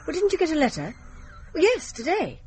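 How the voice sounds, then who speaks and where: An actress playing a polite but firm, flustered dental receptionist in a radio play, apologetic and officious. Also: female voice, sits high, wildly swooping pitch, a little fast, darkish tone, a little hiss or hum. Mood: apologetic, harried. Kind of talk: naturalistic radio drama acting.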